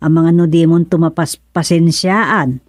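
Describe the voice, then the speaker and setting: An actress playing a chatty, teasing wife in a comic radio serial. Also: female voice, low voice, steady tone, unhurried, a little mellow, no echo, clean studio sound. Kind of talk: comic radio drama acting.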